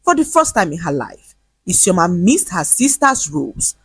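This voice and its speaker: A woman tells a comic moral story, her low, husky voice swinging widely in pitch as she narrates. The sound is dry and close, with no room around it. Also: normal speed, no room sound. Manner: lively, comic, expressive.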